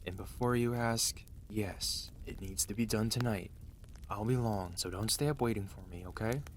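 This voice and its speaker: A man acting out a weary, protective mafia boss husband in an ASMR roleplay, commanding and dry yet gentle and intimate. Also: lowish voice, moderate pace, slight background noise, close to an everyday voice. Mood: gentle, teasing. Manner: tired, authoritative, dry, intimate.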